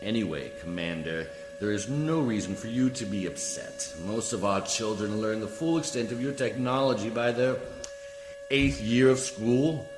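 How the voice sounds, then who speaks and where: A man's voice: fairly low pitch, normal speed, lightly acted. A man acting a coolly formal, condescending alien judicial official in a science fiction radio play, speaking with precise diction. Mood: smugly condescending. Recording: echoey hall reverb, music behind.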